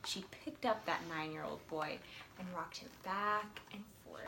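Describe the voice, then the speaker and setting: A woman reading a picture book aloud with expressive storytelling. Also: on the low side, measured pace, gravelly. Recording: roomy, echoey sound with faint noise.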